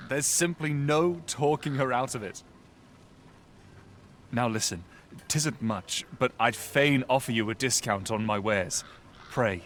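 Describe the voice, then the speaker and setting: An actor playing a genial, grateful old merchant with folksy archaic speech in a fantasy game. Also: male voice, mid-range voice, a little hiss or hum, lightly acted. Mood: generous. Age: older. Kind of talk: scripted fantasy game dialogue.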